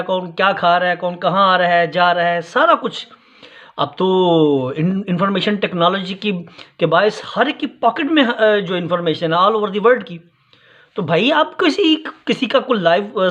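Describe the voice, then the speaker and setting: A man giving unscripted critical commentary in a halting, repetitive, challenging manner. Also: high voice, a bit bright. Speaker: vlogger commenting on a sermon clip. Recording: dull, lo-fi sound.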